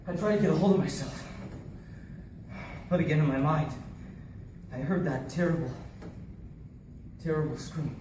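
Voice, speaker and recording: A man performs a haunted military survivor in a dramatic stage-style monologue. The voice is high for a man and intense, moving between hushed grief and urgency. It sounds distant in a heavily echoing space with faint noise. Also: a little slow, long pauses, close to an everyday voice. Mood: haunted, grim. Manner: theatrical, intense, swinging from hushed to shouting. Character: haunted Navy SEAL survivor recounting a deadly battle. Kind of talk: dramatic first-person monologue.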